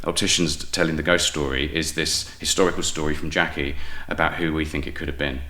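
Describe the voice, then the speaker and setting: A man's voice: a bass voice, level tone, a little fast, sharp tone, slight background noise. A man with a southern English accent chatting casually as an interview guest, telling personal stories in a self-deprecating way. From England. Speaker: guest playwright.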